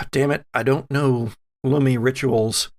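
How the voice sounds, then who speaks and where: Tabletop game role: male player as wry, loyal, grudging man, laconic and folksy, with a gravelly edge. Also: medium pitch, steady tone, takes it slowly. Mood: exasperated.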